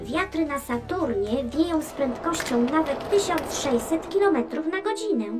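High, lively cheerful space probe. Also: female voice, moderate pace, over music. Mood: informative. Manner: playful, clear storytelling explanation. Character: cheerful female space probe, friendly, curious, gently teasing. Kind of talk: children's educational audio drama.

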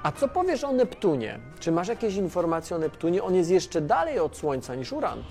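An actor playing an excitable, scatterbrained, inquisitive man who rambles and repeats himself in a children's educational audio drama. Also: high voice, moderate pace, creaky, soft background music, exaggerated voice. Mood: curious, enthusiastic. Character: Tomasz, scatterbrained, excitable, inquisitive male friend of space probe. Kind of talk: children's educational radio play.

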